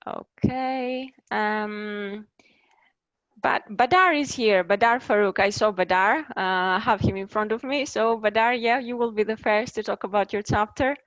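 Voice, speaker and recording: A woman's voice: mid-range voice, a little slow, a bit bright, no room sound. A woman in her thirties with a Greek accent, moderating an online book launch, hesitant with many fillers. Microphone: video call. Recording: dull lo-fi video call audio.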